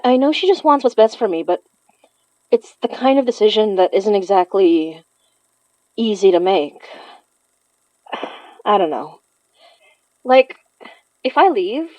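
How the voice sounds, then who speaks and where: An actress plays a young Filipino woman with a conflicted, grieving heart, confiding haltingly as if leaving a private message. Her Filipino-accented voice sits at a medium pitch. The sound is very dry and slightly dull, like an intimate audio drama monologue. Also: a little mellow, no echo, close to an everyday voice. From Philippines. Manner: halting, sighing, confessional.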